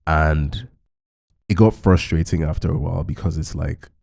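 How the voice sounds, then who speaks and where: A man's voice: very deep voice, moderate pace, vocal fry, a little mellow. A man hosting a podcast, talking through his thoughts in a loose, reflective way with plenty of filler words. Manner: musing, loose, peppered with fillers. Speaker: podcast host. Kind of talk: reflective conversational chat.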